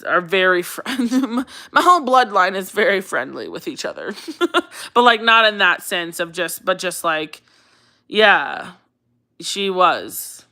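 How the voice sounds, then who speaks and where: A woman's voice: on the low side, pushed and bright, smooth. An American woman from Colorado hosting a casual livestream, chatty and rambling, repeating phrases for emphasis as she answers viewers. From Colorado, United States. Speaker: livestream host.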